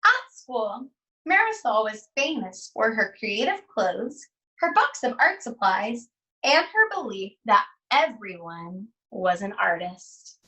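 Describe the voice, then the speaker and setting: A woman teacher reading a children's storybook aloud, with deliberate, expressive phrasing and pauses. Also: fairly high pitch, far from the mic, rough recording.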